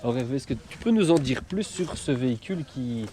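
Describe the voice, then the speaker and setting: A man's voice: medium pitch, expressive, fairly quick, dark tone. A man with a Belgian French accent, chatting enthusiastically in a vlog while taking photos outdoors in a misty forest. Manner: chatty, enthusiastic, laughs often. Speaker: photographer vlogger. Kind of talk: casual vlog chat. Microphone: action camera. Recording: noisy outdoor camera audio.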